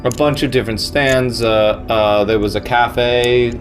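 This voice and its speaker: A man's voice: medium pitch, steady tone, a little slow. A man from the United States hosts a laid-back podcast with an easy mid-range voice. He rambles through his points and breaks into laughter as he goes. Faint music sits under the talk. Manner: rambling and jokey, laughs often. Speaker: podcast host. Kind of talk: casual comedic chat.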